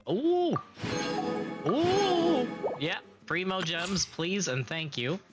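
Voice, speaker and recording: A young man streaming a game in casual chat, recovering from illness and slightly raspy, clearing his throat now and then. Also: high-pitched, very animated, over loud music. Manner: slow, chatty, occasional coughs and throat clearing.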